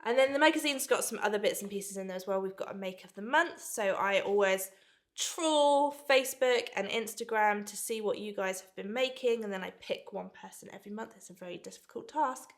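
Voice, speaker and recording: A bunged-up woman in her thirties talks through a sewing box unboxing. Her voice is nasal and congested from a cold, and her delivery is bright and enthusiastic, like easy friendly chat. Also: mid-range voice, a little fast. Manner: chatty and enthusiastic. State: blocked nose from a cold. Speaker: sewing subscription box owner. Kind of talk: casual unboxing vlog.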